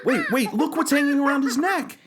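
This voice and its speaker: A man's voice: extremely high pitch, sing-song, fairly quick, music in the background. A man acting a plucky, confident and eager explorer in an audio adventure play. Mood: urgent.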